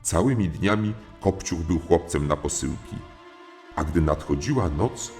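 A man's voice: sits low, expressive, unhurried, darkish tone. A man narrating a comic audio fairy tale as a formal, courteous storyteller. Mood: measured. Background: music.

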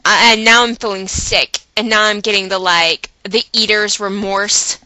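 A woman vlogger telling a personal story to her viewers in a chatty, animated way, with dramatic emphasis and acted-out asides. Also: medium pitch, normal speed, no echo, low-quality recording. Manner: chatty, dramatic emphasis, acting out her own inner dialogue.

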